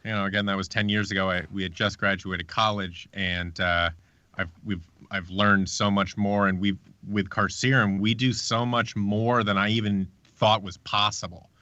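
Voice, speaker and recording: Male interview guest, thirties. Lower-pitched, bright punchy delivery, chatty with restarts, slightly dull audio. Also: level tone, normal speed, dry recording. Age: 30s. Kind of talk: casual live interview.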